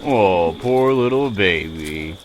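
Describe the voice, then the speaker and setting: A man's voice: low-pitched, expressive, takes it slowly, slight background noise. A man playing a teasing, boisterous buddy and ringleader in an audio drama.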